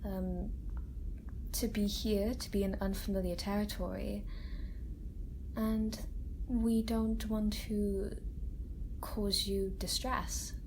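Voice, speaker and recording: A woman acts out a kind, gentle doctor at a research facility, caring for an alien patient in an ASMR roleplay. She speaks softly and slowly at a medium pitch, hesitant and reassuring. A sci-fi ambience hums faintly behind her. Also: rough recording. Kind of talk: soft-spoken ASMR roleplay. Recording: steady ambient noise bed. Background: sci-fi room ambience.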